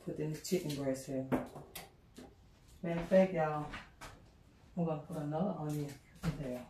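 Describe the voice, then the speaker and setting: A woman's voice: deep voice, moderate pace, background noise. A middle-aged woman cooking at home, chatting casually to viewers with lots of fillers and y'all. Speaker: cooking vlogger. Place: home kitchen, indoors. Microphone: far camera on counter. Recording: roomy kitchen sound from a distance.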